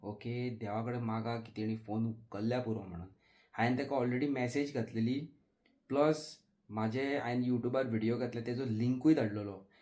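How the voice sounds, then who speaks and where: A man's voice: medium pitch, fairly even intonation, moderate pace, darkish tone, no echo. Goan man chatting in a casual vlog. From Goa, India. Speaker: vlogger. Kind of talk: casual vlog chat to camera.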